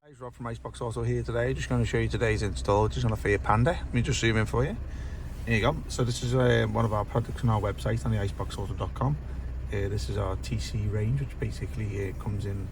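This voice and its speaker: A man with a slightly croaky, worn voice talks through a car stereo system, relaxed and full of "uh"s and "basically". He sits inside a car and films on a phone, with clear noise from the vehicle all around him. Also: lowish voice, fast. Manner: relaxed, filler-heavy explaining. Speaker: car audio installer. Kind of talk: casual product walkthrough.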